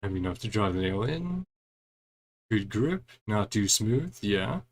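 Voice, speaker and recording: A man's voice: on the low side, sing-song, measured pace. A man streaming a game in a casual, chatty way, reading dialogue aloud and murmuring short reactions between the lines. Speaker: gaming streamer playing through a story quest.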